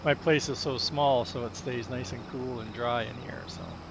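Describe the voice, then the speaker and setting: Raspy man chatting over loud noise. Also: medium pitch, fairly even intonation, moderate pace. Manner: chatty, chuckles between remarks. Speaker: gaming channel host. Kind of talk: casual let's-play commentary. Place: small home room.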